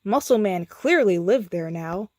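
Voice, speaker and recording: A woman reads a bedtime story as a storyteller. Her delivery is theatrical and deliberate, with a medium pitch and a dry, close sound. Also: expressive, darkish tone, no room sound. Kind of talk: scripted bedtime story narration.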